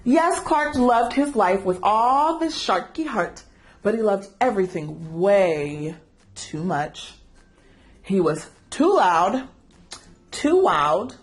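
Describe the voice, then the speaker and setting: A woman storyteller reading aloud for children, animated and engaging listeners with questions. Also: medium pitch, slow. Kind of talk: expressive children's read-aloud narration.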